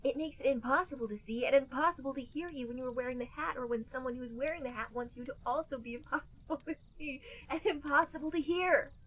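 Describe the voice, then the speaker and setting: A woman voicing an excitable young male fox sidekick, shouting his lines in a comedy podcast reading. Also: high voice, quick pace, hardly any pauses.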